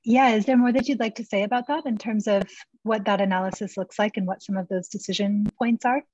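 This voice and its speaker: A woman's voice: mid-range voice, fairly even intonation, a little fast, a little mellow, dry recording. A woman interviewing a guest over a video call, affirming answers and politely asking follow-up questions. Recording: dry, muffled video-call audio.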